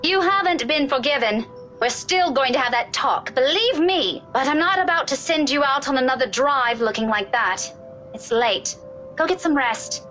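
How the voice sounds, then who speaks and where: A woman's voice: high voice, lively intonation, normal speed, sharp tone, soft background music. A woman playing a sarcastic, fed-up young woman. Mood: stern. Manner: sarcastic, exasperated. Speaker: game narrator and player.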